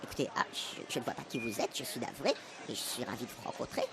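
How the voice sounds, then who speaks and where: A man's voice: mid-range voice, lively intonation, quick pace, gravelly, fairly bright tone, a little hiss or hum. A man playing a polite, embarrassed town mayor who hesitates and tries to get away, in a comic radio serial. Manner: hesitant, evasive.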